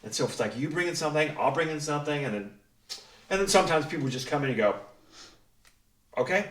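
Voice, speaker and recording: A man's voice: fairly high pitch, lively intonation, quick pace, a bit bright. A man talking casually in a group interview as a writer and director, with a joking, self-deprecating manner. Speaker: writer, director and producer of a radio play, interviewee. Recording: echoey room, distant mic.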